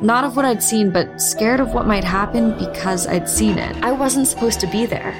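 A woman's voice: on the high side, normal speed, lightly acted. An actress playing a grave, frightened woman with a secret in audio drama dialogue. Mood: grave, confessing. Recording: loud music under trailer dialogue.

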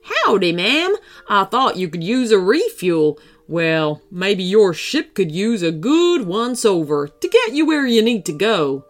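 A big, friendly storybook dog in a cowboy hat, acted out by a woman, talks in a folksy, helpful way. The delivery swings up and down in pitch, with music playing behind. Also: very animated, measured pace, few pauses. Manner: folksy cowboy drawl phrasing.

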